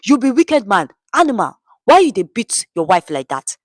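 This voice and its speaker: A woman's voice: on the high side, sing-song, normal speed, no room sound, studio quality. A woman voicing a menacing male street thug in a story, barking threats with a Nigerian accent. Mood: agitated bullying.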